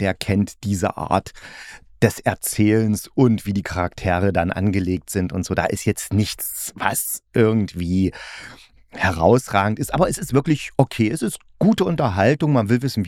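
A man's voice: low voice, darkish tone, no echo, clean studio sound. A man talking casually and in a rambling, colloquial way as a podcast host giving a review. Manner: colloquial, rambling, hedged opinions. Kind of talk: casual solo review talk.

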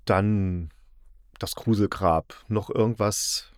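A man's voice: lowish voice, steady tone, slow pace, no echo, clean studio sound. Casual man with blunt slang. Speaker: podcast host discussing audio dramas. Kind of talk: casual chat.

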